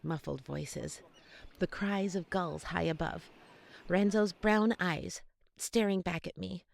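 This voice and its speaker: A woman giving an expressive, dramatic first-person audiobook narration of young adult fantasy. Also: on the low side, takes it slowly, vocal fry.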